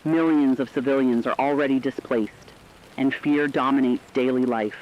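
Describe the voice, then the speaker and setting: Male actor as solemn radio war reporter, medium pitch, emphatic scripted read, muffled lo-fi broadcast. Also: steady tone, moderate pace, close to an everyday voice. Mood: grave, resolute. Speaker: news correspondent. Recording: dull lo-fi radio sound.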